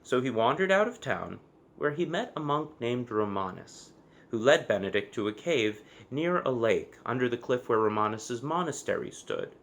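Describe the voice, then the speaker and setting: A man narrating a children's story from a book, with expressive, measured phrasing and deliberate emphasis. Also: mid-range voice, vocal fry. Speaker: storybook reader.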